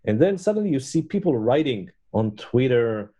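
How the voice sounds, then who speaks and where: A male journalist explains things in a measured, conversational way. His voice has a dark, mellow timbre and sits in an ordinary mid-range. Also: medium pitch, sing-song, husky. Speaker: journalist and series co-creator.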